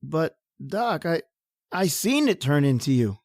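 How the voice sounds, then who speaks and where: A woman playing a timid, uneducated male lab assistant who stammers and pleads in rough slangy speech. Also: low voice, sing-song, a little slow, darkish tone, dry recording, clean studio sound. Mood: scared. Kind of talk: old-time radio horror acting.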